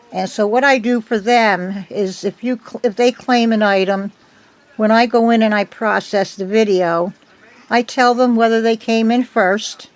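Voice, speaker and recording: An older woman with a slightly hoarse voice from a cold, chatting at home into her phone in a meandering way, with many ums. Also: medium pitch, poor audio. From United States. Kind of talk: casual chat. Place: at home indoors.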